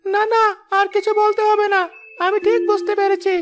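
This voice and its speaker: A performer voicing an eager, pleading little village boy in an animated moral story, earnest and coaxing. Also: very high voice, moderate pace, slight background noise. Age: child character.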